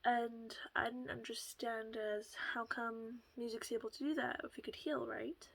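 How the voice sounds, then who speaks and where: A woman with a soft voice that often slips into a half whisper, talking casually to her viewers through a headset at home, rambling a little and laughing softly. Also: on the high side, steady tone, a little slow, vocal fry. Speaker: channel host. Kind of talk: casual solo talk to camera.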